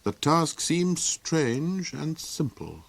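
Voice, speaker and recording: A man's voice: on the high side, big pitch swings, extremely slow, gravelly, pitched above the performer's own voice, exaggerated voice. A man voicing an earnest young prince with eager, anxious exclamations in a storybook reading.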